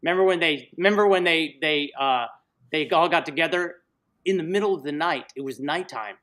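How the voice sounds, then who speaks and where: A man with a fairly high voice hosts a loose livestream chat. He rambles from topic to topic and cracks jokes. Now and then he drops into goofy put-on voices. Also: fairly quick, fairly bright tone. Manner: jokey, rambling, puts on silly voices. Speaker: livestream host. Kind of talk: casual livestream banter.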